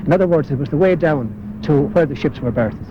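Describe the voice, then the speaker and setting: A man's voice: a little high, lively intonation, brisk. Hesitant Irish man with street noise behind. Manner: hesitant, frequent uh fillers. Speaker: local historian. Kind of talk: walking interview. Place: outdoors in city streets. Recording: muffled 1980s broadcast with street noise.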